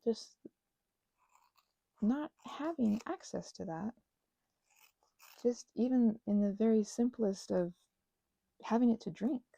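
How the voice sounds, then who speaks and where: Female ASMR rambler, husky and dark-toned, earnest, wandering delivery with plenty of self-correction, close-miked. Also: on the high side, takes it slowly, pauses often, no echo. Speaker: host of a craft ramble channel. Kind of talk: unscripted ASMR craft ramble.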